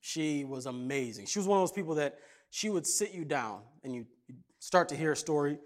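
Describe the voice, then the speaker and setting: Lively conversational preacher man. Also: fairly high pitch, fairly quick. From Kenya. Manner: conversational, jokey, animated. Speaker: pastor. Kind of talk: casual sermon with stories.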